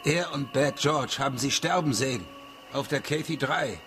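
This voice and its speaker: A man's voice: medium pitch, normal speed, fairly bright tone, natural voice. Troubled, terse actor as a male ex-detective. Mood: guilty, resigned. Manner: terse, clipped recollection. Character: troubled, guilt-ridden male ex-detective, defensive and brooding. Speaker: client being questioned. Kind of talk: German radio crime drama acting. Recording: studio radio play with music underneath. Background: music.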